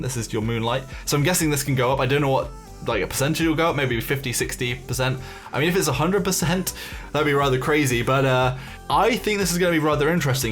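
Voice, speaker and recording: A man with a medium-pitched voice hosts a gaming video over background music. He explains details casually, musing and speculating as he goes. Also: a bit bright. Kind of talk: casual game commentary, talking through details. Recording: music behind the voice.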